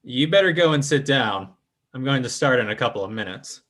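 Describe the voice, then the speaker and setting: A man's voice: medium pitch, lively intonation, a little fast, fairly bright tone, lightly acted. A man playing a smug, commanding fake spiritualist swindler in theatrical 1940s radio style. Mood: smooth, suspicious. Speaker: actor in an old-time radio drama revival.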